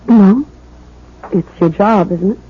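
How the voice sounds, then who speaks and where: A woman's voice: medium pitch, husky. Fragile young woman, muffled old radio. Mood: uneasy, polite. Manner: halting, nervous, plaintive. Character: fragile, anxious young bride doubting her own sanity. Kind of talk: 1970s radio drama acting.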